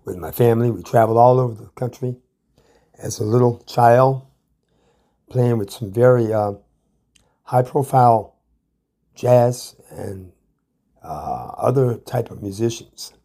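Male American storyteller, autobiographical. Slightly husky, dark tone, takes his time. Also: medium pitch, a little slow, long pauses. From Ann Arbor, Michigan, USA. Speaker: guest storyteller.

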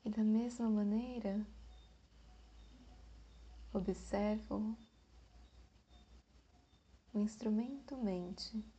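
Lady doing guided meditation, super slow w long pauses, soft breathy mellow voice. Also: medium pitch, fairly even intonation, lo-fi sound.

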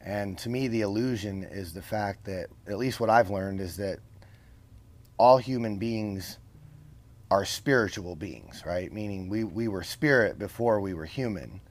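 A man's voice: on the low side, unhurried. A man as a podcast guest, explaining his views earnestly and often checking in with "right?" and rhetorical questions. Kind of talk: casual conversational interview.

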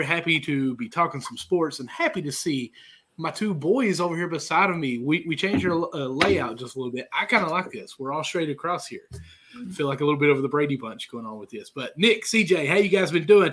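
A man hosting a casual sports podcast over a video call, sounding hoarse from a sinus infection, chatty and apologetic. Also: on the high side, lively intonation, fairly quick, fairly bright tone. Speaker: podcast host.

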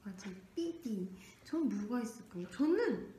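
A woman's voice: a little high, lively intonation, unhurried, mellow. A young woman co-hosting a casual radio-style show, playful and giggly, leading the segment and reading a listener's letter. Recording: echoey room with faint background noise.

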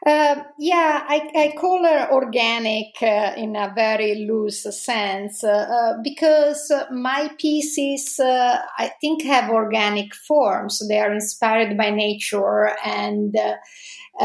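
A middle-aged woman with an Italian accent, answering interview questions with many pauses and fillers. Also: on the high side, creaky, echoey room. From Italy.

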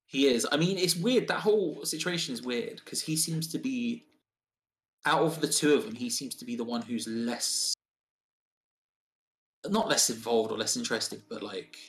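A man's voice: medium pitch, normal speed, a bit bright, a little hiss or hum, low-quality recording. A man chatting casually on a podcast, rambling with lots of fillers and restarts.

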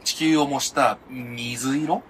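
A man's voice: mid-range voice, steady tone, slow pace, a bit bright, a little hiss or hum. A man co-hosting a podcast, chatting casually with friends in a chatty, explanatory manner. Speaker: podcast co-host. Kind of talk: casual chat among friends.

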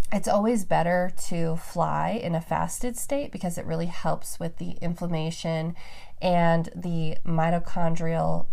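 A woman's voice: fairly low pitch, vocal fry, darkish tone. A woman hosting a podcast, talking upbeat and enthusiastic while stuffed up from allergies. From Nevada, USA. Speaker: podcast host. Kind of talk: solo podcast talk.

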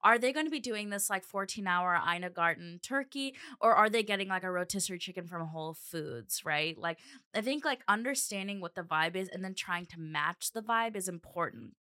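A woman's voice: mid-range voice, a little fast, pushed and bright, clear, resonant tone, dry recording, studio quality. A woman co-hosting a podcast in casual chat, emphatic and full of "like". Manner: chatty, emphatic, heavy use of "like". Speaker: podcast co-host.

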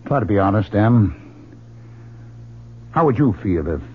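A dull, slightly hissy old radio play with a middle-aged husband. His voice is mid-pitched with very expressive swings. He comes across as mild, earnest and genial. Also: unhurried. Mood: troubled. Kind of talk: old-time radio drama acting.

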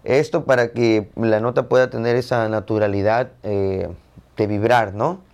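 A man's voice: lowish voice, steady tone, normal speed, a little mellow. A man giving an instructional demonstration of audio effects while playing a jarana, explaining each step directly to the viewers. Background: plucked jarana strumming.

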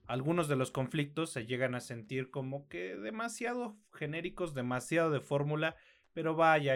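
A man with a Mexican accent co-hosting a film review podcast, speaking in measured, articulate phrases. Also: medium pitch. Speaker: podcast co-host.

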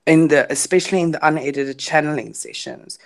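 Low-voiced South African woman, Afrikaans native. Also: fairly quick. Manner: warm enthusiastic, searching for words. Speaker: podcast guest, witchcraft teacher. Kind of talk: casual podcast conversation.

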